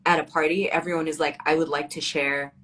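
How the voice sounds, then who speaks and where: A woman's voice: low voice, little pitch movement, fairly quick, fairly bright tone. A bilingual Arabic and English speaking woman talking as a guest poet, reflective and informal, with wry humor in a casual literary chat.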